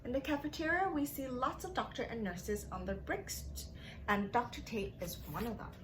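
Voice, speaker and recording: A woman reading and teaching for young children as a story-time host, in simple, drawn-out phrases addressed to the kids, on a noisy recording. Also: a little high. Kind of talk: read-aloud narration and playful teaching to young children.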